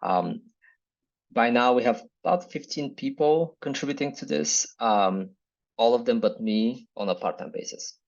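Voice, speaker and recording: A man from Bulgaria with a Bulgarian accent, a startup founder speaking in a measured, reflective way as an interview guest. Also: mid-range voice, animated, creaky, highs cut off. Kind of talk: business interview.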